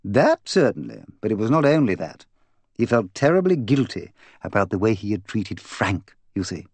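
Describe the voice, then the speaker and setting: Man voicing an imperious, gossipy woman, very animated. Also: on the low side, moderate pace, husky, no room sound. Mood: gossipy, confiding. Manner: bossy, emphatic, voluble. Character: imperious, gossipy, opinionated English woman confiding at length. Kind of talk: audiobook character dialogue.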